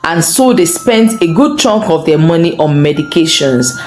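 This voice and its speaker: Animated woman storyteller with roomy echo. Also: low-pitched, moderate pace, soft background music. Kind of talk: scripted folktale narration.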